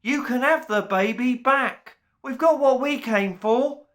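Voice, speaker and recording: A man's voice: a little high, lively intonation, unhurried, sharp tone, voice pushed up. A man voicing a brave small boy in a raised, urgent voice.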